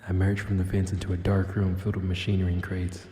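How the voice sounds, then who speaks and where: A man's voice: deep voice, completely flat delivery, quick pace, dark tone, in a large hall, close to an everyday voice. A man playing a cocky, wry, battered cyberpunk infiltrator in an audio drama, both narrating and speaking in character. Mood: tense narration. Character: cocky wounded tailed infiltrator, wry cyberpunk action hero. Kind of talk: audio drama dialogue with first-person narration.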